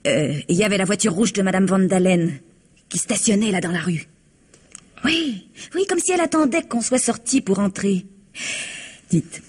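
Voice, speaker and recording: Husky-voiced naive country maid, flustered and chatty, rambling through radio mystery dialogue. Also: female voice, mid-range voice, animated, moderate pace, long pauses, a little mellow. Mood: gossipy, hesitant. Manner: hesitant, rambling, repeats herself, giggly. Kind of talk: French radio mystery drama acting.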